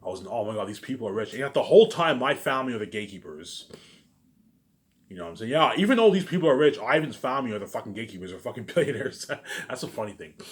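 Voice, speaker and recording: A man delivering a rambling, profane, boastful monologue to online viewers, circling back and repeating his phrases, with sudden louder bursts. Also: lowish voice. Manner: repetitive, restarting phrases over and over.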